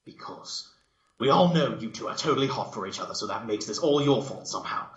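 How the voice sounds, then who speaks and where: A man's voice: mid-range voice, animated, a little fast, reverberant, highs cut off, natural voice. A man voicing a bossy, impatient female cartoon unicorn pony with a scolding, emphatic delivery in a fan story reading. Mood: bossy, irritated.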